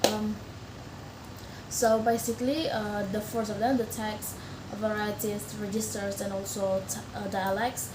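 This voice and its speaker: A woman's voice: mid-range voice, level tone, measured pace. Young woman, native Indonesian speaker, class presentation, hesitant with fillers, echoey room, noisy background. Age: young adult. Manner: hesitant, many fillers and restarts. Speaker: student.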